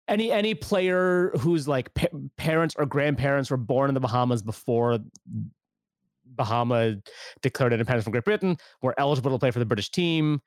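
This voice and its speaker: A man's voice: mid-range voice, dry recording, studio quality. A man hosting a casual podcast, chatting loosely with plenty of fillers and restarts. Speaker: podcast host.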